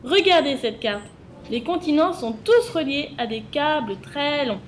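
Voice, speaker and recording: A woman's voice: high-pitched, lively intonation, unhurried, a little hiss or hum. A woman reading scripted educational narration for children, explaining things and addressing the viewers directly.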